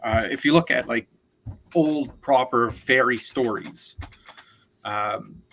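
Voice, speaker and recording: A man co-hosting a casual literary discussion, explaining things in a halting, deliberate way and often repeating words. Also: medium pitch, fairly bright tone, a little hiss or hum, low-quality recording. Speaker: co-host, literary commentator.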